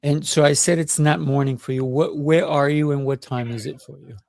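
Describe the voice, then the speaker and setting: A man's voice: a little high, steady tone, brisk, creaky. A middle-aged man hosting a podcast interview, chatty and encouraging, with lots of affirming interjections. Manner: warm, encouraging, with frequent affirmations. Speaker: podcast host. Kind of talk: conversational interview.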